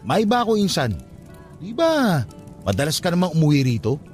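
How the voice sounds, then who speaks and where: Cocky young skeptic, lively pitch. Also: male voice, normal speed, soft background music. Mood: casual. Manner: teasing, brash, mocking. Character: cocky, skeptical young funeral-parlor worker teasing his cousin. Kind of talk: radio drama dialogue, casual banter.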